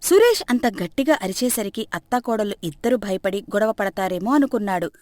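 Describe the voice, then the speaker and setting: A woman's voice: mid-range voice, lively intonation, fairly quick, darkish tone, no echo. A woman narrating a comic moral story as a scripted storyteller.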